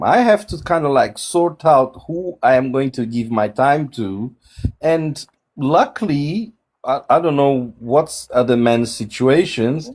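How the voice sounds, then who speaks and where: A man in his forties from Angola, raised in Portugal, talking reflectively in a casual group chat with frequent restarts over a video call. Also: darkish tone. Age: 40s. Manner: reflective, many restarts, shifting pitch. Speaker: guest on a dating discussion.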